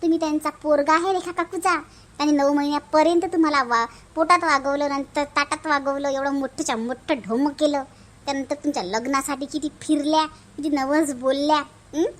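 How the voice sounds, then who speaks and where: A woman's voice: high-pitched, steady tone, a little fast, fairly bright tone. A woman voicing an outspoken young married neighbour who lectures reproachfully in a cartoon family drama. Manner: reproachful, moralizing, emphatic.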